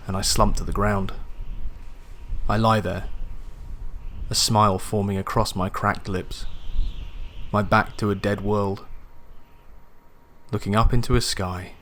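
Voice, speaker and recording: A man reading a first-person science fiction horror story in a measured, dramatic storytelling manner. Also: fairly low pitch, flat delivery, a bit bright, slight background noise. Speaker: audiobook narrator. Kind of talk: scripted first-person sci-fi horror narration. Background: atmospheric music and sound effects.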